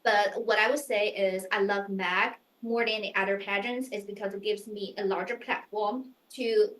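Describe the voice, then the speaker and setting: A woman's voice: mid-range voice, fairly even intonation, moderate pace, fairly bright tone. Young woman, echoey room. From China. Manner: earnest, searching, filler-heavy phrasing. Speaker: pageant contestant. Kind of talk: mock interview answers.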